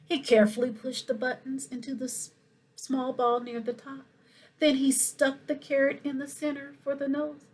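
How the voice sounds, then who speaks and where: A woman reading a children's story aloud in an expressive, animated way, with asides to her young listeners. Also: on the high side, slightly rough, poor audio. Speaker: school principal reading a picture book aloud.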